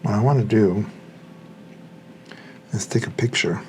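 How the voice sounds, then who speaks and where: A man's voice: fairly low pitch, a little slow, a little mellow, slight background noise. A man giving casual hands-on unboxing commentary, muttering to himself as he works with his hands. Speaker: hobbyist reviewer.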